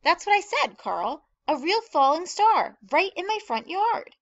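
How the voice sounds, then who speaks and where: A woman voicing excitable small-town gossips in a storybook, exclaiming breathlessly and emphatically as they spread exaggerated news. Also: very high-pitched, measured pace, muffled recording, pitched above the performer's own voice, exaggerated voice. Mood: excited. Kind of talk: animated storybook character reading.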